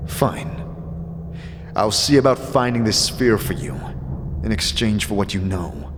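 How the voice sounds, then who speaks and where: An actor playing a grave, guarded undead wanderer in a fantasy audio drama, with a terse, skeptical manner. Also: male voice, fairly low pitch, a little slow, close to an everyday voice. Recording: long reverb, clearly noisy ambience behind.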